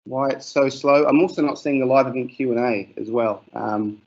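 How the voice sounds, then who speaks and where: A man's voice: mid-range voice, moderate pace. A middle-aged man with a New Zealand accent, a teacher giving a casual webinar presentation with many fillers. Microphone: video call. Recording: muffled online call audio.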